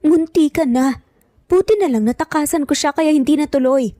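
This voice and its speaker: A woman's voice: high voice, moderate pace, dark tone, no room sound, studio quality, pitched above the performer's own voice, natural voice. A woman voicing a frightened, tearful young woman who pleads insistently in an audio romance drama. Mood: tearful, shaken. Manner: pleading, emphatic.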